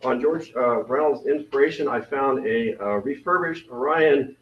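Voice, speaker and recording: A deep-voiced man gives club meeting announcements in a rambling, joking, self-deprecating way. He is picked up from across an echoey room. The sound is dull and muffled. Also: normal speed. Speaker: event committee member. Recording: roomy, distant, dull meeting recording.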